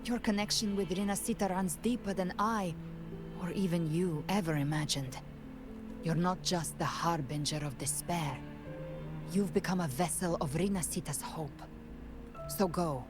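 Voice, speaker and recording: An actress playing a solemn, poised woman guiding a hero in a video game, speaking in a grave, measured, dramatic way. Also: on the low side, close to an everyday voice. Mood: solemn, resolute. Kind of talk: scripted video game voice acting. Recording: game dialogue over clear background music. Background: game music.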